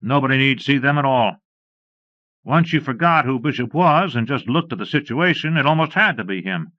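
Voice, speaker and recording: A man's voice: medium pitch, animated, moderate pace, no echo, pitched above the performer's own voice, lightly acted. A man voicing a stern, terse Texas Ranger in a Western audiobook, with commanding, clipped delivery. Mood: matter-of-fact. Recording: dull lo-fi cassette transfer.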